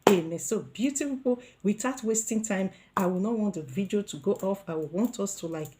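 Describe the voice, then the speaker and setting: A woman's voice: medium pitch, expressive, a little fast, heavy background noise. A middle-aged Nigerian woman giving an earnest motivational talk to her viewers, repeating herself with many fillers and restarts. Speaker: vlogger.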